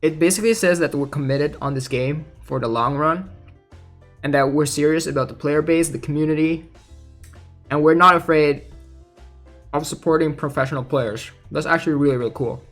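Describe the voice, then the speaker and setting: A gaming content creator talks in a casual, mid-pitched voice with music behind. The delivery is conversational commentary on game news, switching now and then into reading aloud. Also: normal speed, a bit bright.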